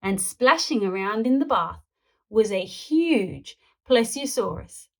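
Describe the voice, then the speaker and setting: A woman storyteller reading a children's picture book aloud in an animated narrating style. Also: medium pitch, slow.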